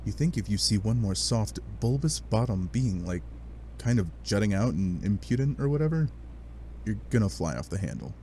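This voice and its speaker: A man with a fairly low voice narrates a comic adventure in an animated, sardonic way. His pitch swings widely between dry statements and dramatic asides. A light but steady noise sits behind him. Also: moderate pace. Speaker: narrator of a fan dub read-along.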